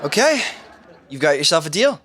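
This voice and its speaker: A man's voice: on the high side, sing-song, moderate pace, over a noisy crowd, heavy background noise. A man playing an honest, reluctant twenty-something in a podcast sitcom, earnest and incredulous. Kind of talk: sitcom acting.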